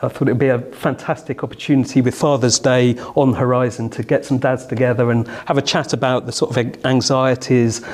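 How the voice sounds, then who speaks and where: Middle-aged man, discussion host, medium pitch, casual, hesitant meandering phrasing. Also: darkish tone. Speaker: host of a group discussion with dads. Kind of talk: casual chat.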